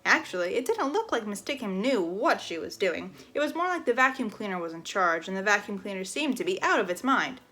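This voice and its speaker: A woman reading a children's chapter book aloud in an expressive storytelling style. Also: medium pitch, fairly quick, few pauses.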